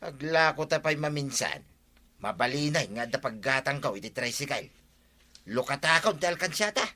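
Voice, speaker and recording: An actor playing an excitable, insistent husband in a regional radio comedy drama, with music behind him. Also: male voice, mid-range voice, normal speed. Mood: curious, coaxing. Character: excitable husband eager to buy a tricycle.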